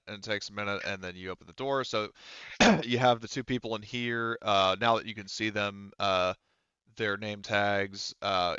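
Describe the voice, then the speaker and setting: A man working as game master, casually explaining scenes in a halting, improvised way. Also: lowish voice, sharp tone, clean tone, dry recording, highs cut off. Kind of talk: improvised tabletop game narration.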